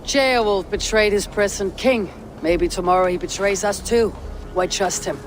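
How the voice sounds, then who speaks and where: Viking hero male actor: direct, formal, poetic, high-pitched for a man, game scene with slight background noise. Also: normal speed, close to an everyday voice. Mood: wary resolve. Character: bold young Viking warrior with a poet's tongue.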